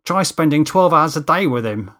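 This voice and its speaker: A man's voice: a little high, expressive, moderate pace, sharp tone, pitched above the performer's own voice. A man voicing a wry, teasing, long-suffering male film crew member in an audiobook. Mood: weary, urging.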